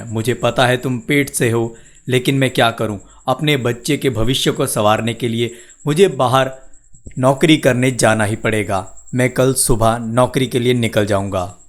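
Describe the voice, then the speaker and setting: A man acts out a poor village labourer in a cartoon story. He is an earnest, plain-spoken husband worn by work. His pitch is ordinary and the delivery stays close to everyday speech. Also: steady tone, a little slow, natural voice. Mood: weary, troubled. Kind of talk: Hindi cartoon story dialogue.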